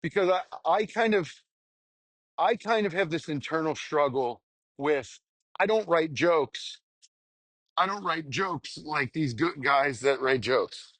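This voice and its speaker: Halting, candid young man, dry close sound. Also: fairly high pitch, animated, fairly bright tone, dry recording. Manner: halting, candid, self-reflective, swears casually. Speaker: stand-up comedian. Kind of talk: casual rambling monologue, audio journal.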